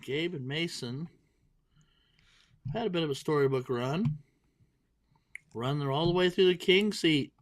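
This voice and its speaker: Guy calling a darts match, kinda high voice, goofs around and jokes at himself. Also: unhurried, long pauses. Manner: playful, self-mocking banter. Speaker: darts commentator. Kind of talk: joking live sports commentary.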